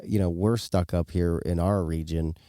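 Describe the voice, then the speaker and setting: A man's voice: sits low, fairly even intonation, moderate pace, mellow, dry recording, clean studio sound. A man co-hosting a podcast in casual, informal chat with a joking, rambling way of talking. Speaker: podcast co-host.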